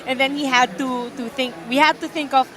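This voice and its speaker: A woman's voice: high-pitched, fairly even intonation, brisk, bright, forceful tone. A woman from the Philippines, a game developer, chattily explaining her game in an interview.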